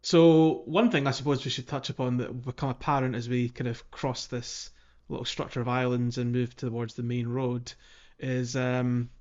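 A man's voice: medium pitch, little pitch movement, normal speed, hardly any pauses, darkish tone, dull audio. A man co-hosting a casual video game podcast, talking in an informal, somewhat hesitant way. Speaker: podcast host.

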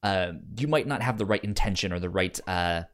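A man co-hosting a podcast in a casual book discussion, speaking haltingly and often repeating sounds and words as he goes. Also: sits low, lively intonation, a bit bright. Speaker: podcast co-host.

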